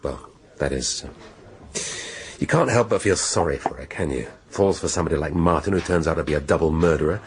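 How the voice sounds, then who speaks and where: An actor playing a brusque, middle-aged English senior police detective with an impatient, wry manner in a radio drama. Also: male voice, a bass voice, a little fast, husky, heavily acted. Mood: relaxed, wry. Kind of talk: British radio drama acting.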